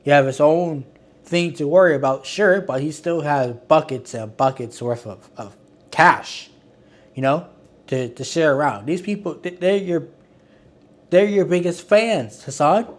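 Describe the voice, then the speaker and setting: Exasperated, rambling man with a slightly high voice. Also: unhurried. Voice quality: self-described monotone. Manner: rambling, with frequent restarts and filler words; exasperated and critical. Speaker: commentary channel host. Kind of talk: casual online commentary.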